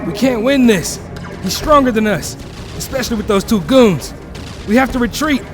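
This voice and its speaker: Teen superhero, played by a man: very high male voice, agitated and blunt, pressing urgency, faint music beneath. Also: expressive, normal speed. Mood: urgent alarm. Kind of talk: audio drama acting.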